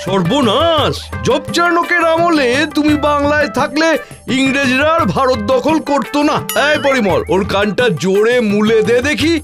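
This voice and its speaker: A man's voice: extremely high pitch, expressive, measured pace, over loud music. A man voicing an exasperated, sarcastic school English teacher in a cartoon. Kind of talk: cartoon voice acting.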